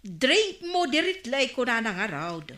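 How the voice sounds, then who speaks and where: Animated, protesting village storekeeper. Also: female voice, high voice, unhurried, echoey room, exaggerated voice. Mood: defensive. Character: defensive female village liquor seller. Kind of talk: Ilocano radio comedy drama acting.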